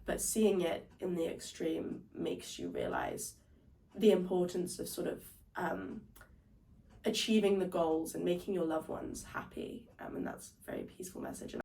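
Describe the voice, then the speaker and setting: A woman's voice: on the low side, wildly swooping pitch, normal speed, warm tone. A young woman from London answering interview questions in an earnest, reflective way. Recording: voice picked up from a distance.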